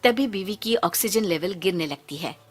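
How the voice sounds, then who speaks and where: A woman's voice: mid-range voice, lively intonation, moderate pace, breathy edge. A woman narrating a scripted moral story as a storyteller. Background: music.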